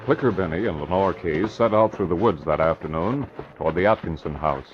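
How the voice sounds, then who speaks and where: A man's voice: low-pitched, a little fast, music in the background, natural voice. A man narrating an old-time radio crime drama in scripted storytelling style. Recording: muffled, noisy old radio recording.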